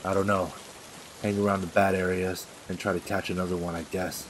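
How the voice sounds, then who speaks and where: A very low, monotone voice with faint rain noise behind it. A crude, suspicious gangster played by a man grumbles in streetwise comic-dub dialogue. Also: brisk, natural voice. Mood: grumbling. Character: gruff, suspicious mob thug, grumbling and crude.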